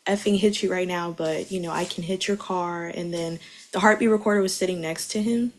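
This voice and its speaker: A woman's voice: lowish voice, fairly even intonation, brisk, slight background noise. A young woman giving testimony as a witness in a courtroom, speaking in casual, informal wording.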